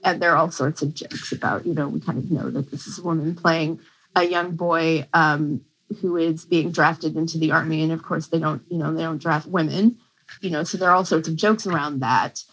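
A woman's voice: low-pitched, fairly even intonation, normal speed, fairly bright tone, slightly rough, poor audio. A woman professor giving a conversational academic explanation as an interview guest, with informal asides.

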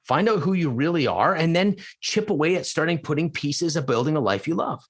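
A middle-aged man from Canada, a coach being interviewed, talking emphatically with rhetorical questions and swings between excited and quiet reflection. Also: a little high, brisk, husky. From Canada (Edmonton). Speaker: real estate coach, guest. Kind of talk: casual podcast interview.

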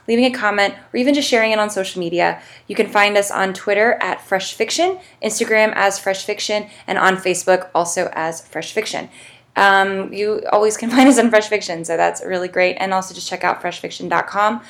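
A woman's voice: medium pitch, fairly bright tone. A woman hosting a podcast in a casual, chatty and effusive way. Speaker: podcast host. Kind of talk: casual interview and podcast sign-off.

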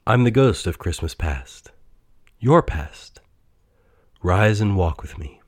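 Storybook spirit: gentle and calm, plain quiet voice, read by a dad. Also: male voice, fairly low pitch, slow pace, no echo, clean studio sound.

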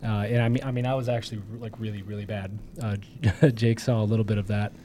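A man co-hosting a casual podcast, his voice still hoarse, talking in a joking, rambling way with many fillers. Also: fairly low pitch, level tone, fast, warm tone, slight background noise. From Michigan. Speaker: podcast co-host. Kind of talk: casual political podcast chat.

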